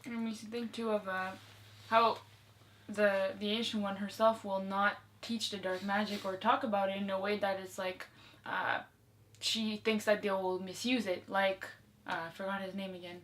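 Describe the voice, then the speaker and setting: A woman's voice: mid-range voice, level tone, moderate pace, fairly bright tone. A woman in a casual discussion, speaking informally and tentatively, often checking in with her listener. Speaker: co-host or guest discussing a movie. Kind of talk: casual conversational chat.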